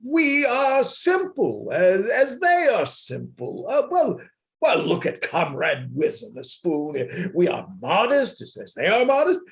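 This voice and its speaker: Man playing a high-voiced, flustered anarchist orator. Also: huge pitch swings, a bit bright, exaggerated voice. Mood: nervous oratory. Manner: stammering, halting, theatrical. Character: flustered, excitable male anarchist orator pleading for election. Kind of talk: amateur radio play reading. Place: indoors, on a video call. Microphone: video call.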